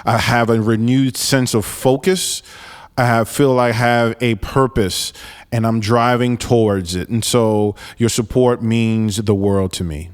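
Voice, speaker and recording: Forties male podcast host, earnest and halting, smooth resonant tone, reverberant room. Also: mid-range voice, steady tone. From New York, raised in Florida. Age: 40s. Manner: earnest, halting with many restarts and fillers. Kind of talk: solo podcast monologue.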